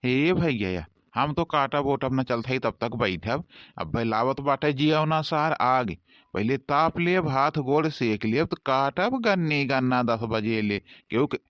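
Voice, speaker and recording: A man's voice: medium pitch, lively intonation, unhurried, no room sound, dull audio, close to an everyday voice. A man voicing a complaining village farmhand in a rustic comedy cartoon, speaking with an Awadhi accent. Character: grumbling Awadhi village farmhands idling over cane cutting.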